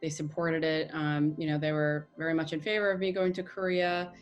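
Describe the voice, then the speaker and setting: An American woman speaking in a soft, halting way with many fillers, reflecting in an interview. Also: sits low, little pitch movement, muffled recording, faint music behind. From United States.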